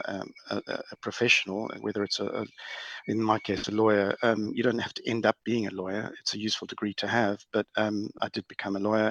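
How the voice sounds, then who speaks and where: South african guy, lowish voice, dry recording, choosing his words carefully on a panel. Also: gravelly, a bit bright, no room sound, rough recording. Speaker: corporate lawyer, panel guest. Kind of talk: considered panel discussion answers.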